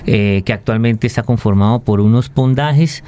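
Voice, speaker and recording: A man's voice: medium pitch, moderate pace, warm tone. A Colombian man explaining technical matters informally as an interview guest, hesitating and repeating words as he goes. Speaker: interview guest, waste treatment expert.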